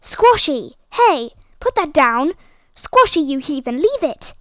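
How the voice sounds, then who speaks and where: A woman reading an audiobook, voicing a hot-tempered schoolboy who shouts in exasperation. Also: very high-pitched, expressive, moderate pace, dry recording, studio quality, pitched above the performer's own voice. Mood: furious.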